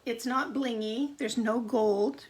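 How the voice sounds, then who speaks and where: A middle-aged woman with a gravelly voice, chatting and thinking aloud through a live card-making demo at her craft desk. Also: fairly high pitch, fairly even intonation, slow.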